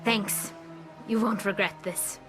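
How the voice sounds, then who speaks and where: A woman's voice: medium pitch, fairly even intonation, slow, lightly acted, low-quality recording. A young woman playing an earnest, determined alien engineer in a video game, speaking formally and articulately. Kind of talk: video game cutscene acting. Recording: game audio with music behind.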